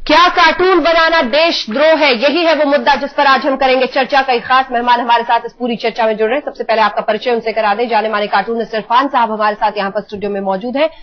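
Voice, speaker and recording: High-voiced woman news anchor, dull audio. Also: fairly even intonation, quick pace, hardly any pauses. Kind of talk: emphatic TV news presenting. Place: TV studio. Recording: dull band-limited broadcast audio.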